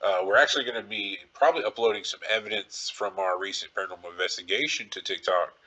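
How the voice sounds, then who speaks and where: A man's voice: fairly low pitch, quick pace, pushed and bright, poor audio. A man hosting a podcast solo, talking casually in a rambling, musing, speculative way. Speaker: podcast host.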